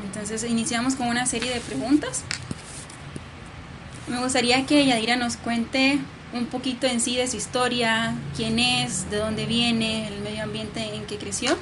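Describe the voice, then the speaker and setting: A woman hosting an interview, asking questions in a friendly way. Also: a little high. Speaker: interview host. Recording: noisy background ambience.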